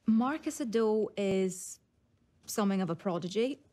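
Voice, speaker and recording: An actress playing a matter-of-fact female motorsport pundit giving measured documentary commentary. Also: mid-range voice, expressive, mellow, close to an everyday voice.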